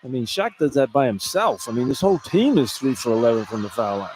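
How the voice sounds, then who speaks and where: A man's voice: mid-range voice, sing-song, fast, darkish tone. A man giving informal, plain-spoken color commentary on a basketball game, repeating points for emphasis, from an arena broadcast position. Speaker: radio color analyst. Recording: clear arena ambience noise. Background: arena ambience.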